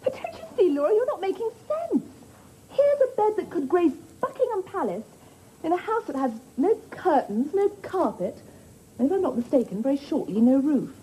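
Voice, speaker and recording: A woman's voice: extremely high pitch, sing-song, moderate pace, dark tone, rough recording. A woman playing a sitcom role, talking in a fussy, prim and exasperated way. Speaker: sitcom supporting character. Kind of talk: 1980s British sitcom acting. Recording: studio audience laughter and noise.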